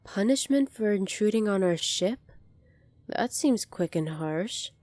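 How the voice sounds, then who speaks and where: A woman playing a poised, kindly young alien princess in an ASMR roleplay, polite, formal and measured, soft-spoken with breathy moments. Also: medium pitch, expressive, no room sound, lightly acted. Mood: stern, authoritative.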